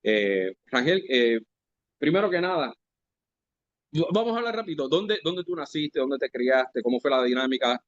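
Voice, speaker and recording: A man hosting a podcast interview, asking questions in a halting, conversational way. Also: mid-range voice, expressive, no echo. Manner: conversational, halting, full of fillers and restarts. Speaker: podcast host. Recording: dry, slightly dull podcast audio.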